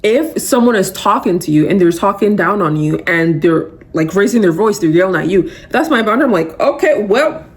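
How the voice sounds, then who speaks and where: A young adult woman hosting a podcast, giving a casual, emphatic motivational talk full of fillers. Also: mid-range voice. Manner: animated, emphatic, conversational with many fillers. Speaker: podcast host.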